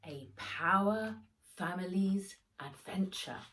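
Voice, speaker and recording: A woman author reading her own children's story aloud as expressive narration, with dramatic delivery of the sound words. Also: medium pitch, slow pace, poor audio.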